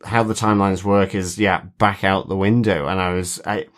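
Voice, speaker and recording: A man's voice: deep voice, little pitch movement, normal speed, fairly bright tone. A man co-hosting a podcast, chatting casually and thinking aloud as he goes. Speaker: podcast co-host. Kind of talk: casual chat about television.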